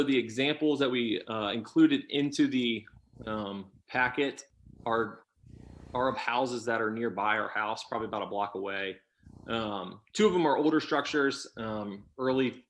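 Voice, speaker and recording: A man presenting as an applicant at a public meeting, informal and halting, pausing between short phrases. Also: medium pitch, a bit bright. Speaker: applicant presenting a house project.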